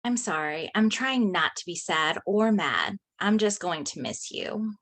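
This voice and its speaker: A woman's voice: fairly low pitch, expressive, a little slow, fairly bright tone, exaggerated voice. A woman at a script table read playing an earnest, emotional teenage best friend.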